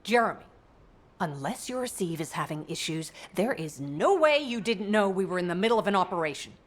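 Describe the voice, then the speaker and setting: A woman playing a caring, protective and stern soldier-scientist in a video game, speaking in a measured, formal way. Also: lowish voice, animated.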